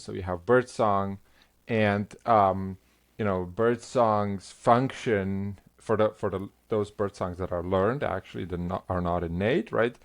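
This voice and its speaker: A middle-aged man with a Slovenian first language, a linguistics professor talking as a guest in an interview, halting with many restarts and fillers. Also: lowish voice. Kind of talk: conversational interview.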